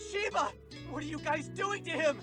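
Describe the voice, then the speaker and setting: A woman's voice: high voice, normal speed. A woman voicing a loyal, upbeat young male friend in a video game, earnest and playfully teasing. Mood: alarmed. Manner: earnest, playful, expressive delivery. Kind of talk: English video game voice acting. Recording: loud background music.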